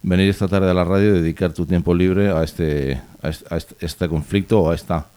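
Deep mellow-voiced radio host. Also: male voice, fairly even intonation, no room sound. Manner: halting, many restarts and fillers. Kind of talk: radio interview. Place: radio studio.

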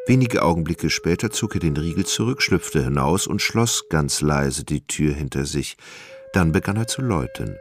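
A man narrating a German literary radio drama in a measured, solemn manner. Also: very deep voice, animated, slight background noise. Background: music.

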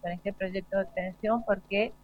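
An Argentine woman speaking as a panel guest in a deliberate, reflective and somewhat hesitant way. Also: medium pitch, steady tone, slight background noise. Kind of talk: reflective unscripted panel talk. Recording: dull lo-fi live broadcast.

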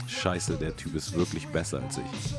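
A man's voice: very deep voice, steady tone, moderate pace. A man talking casually and enthusiastically as a music show co-host, in colloquial speech with English slang mixed in. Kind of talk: casual conversational music commentary. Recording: voice over loud music bed.

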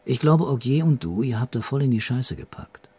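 An actor playing a tough, weary police investigator in a German radio crime drama, blunt and interrogating. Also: male voice, mid-range voice, very animated, normal speed, dark tone, husky. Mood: stern warning.

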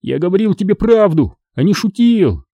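A man reading a storybook as an excitable, indignant boy who pleads urgently. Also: high-pitched, moderate pace, slightly rough, dry recording, voice pushed up, exaggerated voice. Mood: excited.

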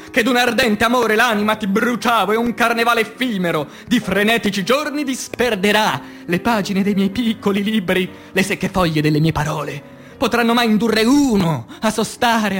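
A man, an actor, reciting poetry in a declamatory, impassioned theatrical style that swings between hushed and loud. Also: sits high, moderate pace. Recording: music under the voice. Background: music.

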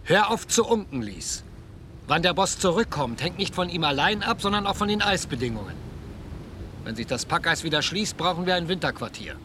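An actor playing a steady, practical polar expedition officer in a radio play, speaking in a firm, matter-of-fact way. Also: male voice, a little high, fairly quick, background noise. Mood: firm, reproving.

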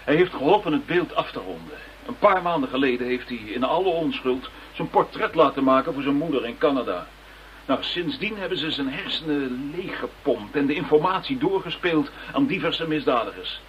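A man's voice: on the high side, expressive, moderate pace, slight background noise, close to an everyday voice. An actor playing an earnest detective in a radio drama, defending his case firmly and insistently. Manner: firm, insistent. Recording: dull lo-fi radio drama recording.

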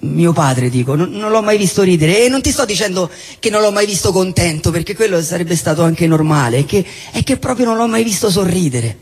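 A man acting a disillusioned, jobless character, blunt yet wistful, in halting stage dialogue with repeated phrases and a husky voice. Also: sits high, animated, full character voice. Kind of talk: theatrical stage dialogue and monologue. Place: theatre hall, live show. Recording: echoey hall, live stage recording.

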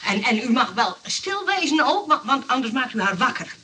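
A woman's voice: on the high side, very animated, moderate pace, bright, forceful tone. A woman playing a protective, kindly nurse in a radio comedy, earnest and emphatic. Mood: defensive, fibbing. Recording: noisy old radio recording, distant.

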